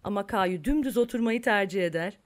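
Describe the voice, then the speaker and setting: A woman's voice: medium pitch, fairly quick, natural voice. A woman reading scripted narration for a children's cartoon story.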